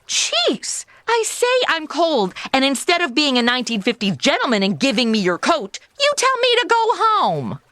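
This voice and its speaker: A woman's voice: sits high, wildly swooping pitch, normal speed, hardly any pauses, pushed and bright, dry recording, exaggerated voice. A woman playing a chatty, oblivious, sassy modern young woman in a comedy audio drama, with flippant, slangy delivery. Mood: whiny, indignant.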